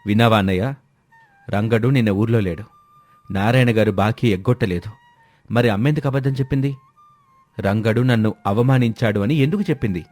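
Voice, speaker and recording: A man's voice: on the low side, a little fast, pauses often, a little mellow, dry recording, lightly acted. Man as righteous young son in a moral story, probing and accusatory, music under him. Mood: pressing. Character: outraged younger son exposing his scheming mother.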